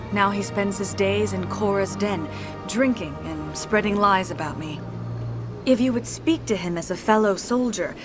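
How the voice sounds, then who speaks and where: An actress voicing a refined, composed alien consort who speaks in calm, gracious sentences. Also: female voice, mid-range voice, natural voice. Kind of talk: video game voice acting. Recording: game audio over music.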